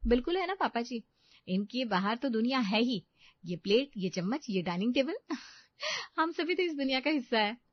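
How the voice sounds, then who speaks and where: A woman voicing an anxious, sweet young daughter-in-law in an animated family story, often hurt and tearful. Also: on the high side, normal speed, dry recording, muffled recording, voice pushed up. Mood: defensive.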